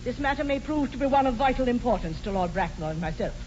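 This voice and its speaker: A woman's voice: fairly high pitch, expressive, fast, a little mellow, close to an everyday voice. A woman playing a pompous, domineering old aristocratic aunt with an upper-class English accent in a 1940s radio comedy. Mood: imperious. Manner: pompous, emphatic, grandly disapproving. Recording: dull, noisy 1940s radio broadcast.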